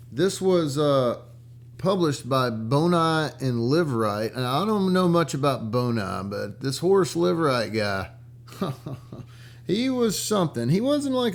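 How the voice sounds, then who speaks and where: Male vlogger, mid-pitched voice, casual storyteller, profane and slangy, loose halting delivery that circles back on phrases. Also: darkish tone. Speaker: vlog host and book collector. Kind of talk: casual rambling storytelling about rare books.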